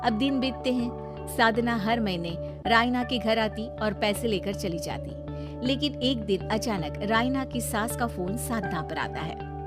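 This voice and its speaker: A woman's voice: a little high, animated, unhurried. A woman narrating a scripted cartoon story. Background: loud background music.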